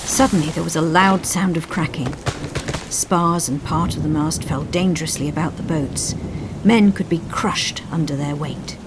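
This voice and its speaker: A woman reading a scripted story in an expressive, suspenseful, dramatic storytelling style. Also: sits low, normal speed, noisy background. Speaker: story narrator. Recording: storm sound effects behind voice. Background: rain, thunder and storm effects.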